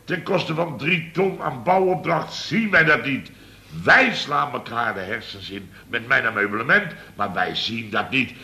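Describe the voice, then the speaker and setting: An actor playing a blustering, flustered contractor boss in a radio comedy serial, stammering and repeating himself excitedly. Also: male voice, medium pitch, a little slow, creaky, exaggerated voice. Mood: indignant.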